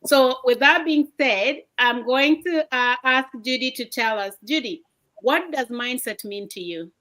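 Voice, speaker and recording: A woman from Kenya hosts a video-call livestream with an upbeat, welcoming manner. Her voice is fairly high and quite bright, and she talks at home at night. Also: a little slow. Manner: upbeat, welcoming, hands over between guests. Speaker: livestream host. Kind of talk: motivational livestream talk.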